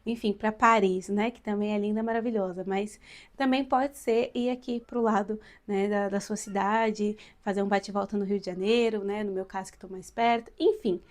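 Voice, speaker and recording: A woman's voice: medium pitch, steady tone, moderate pace. A woman in her late twenties from São Paulo with a São Paulo accent, a teacher giving viewers chatty, encouraging advice in a casual vlog. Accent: São Paulo Brazilian Portuguese. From São Paulo, Brazil. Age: late 20s. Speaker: vlogger and Portuguese teacher.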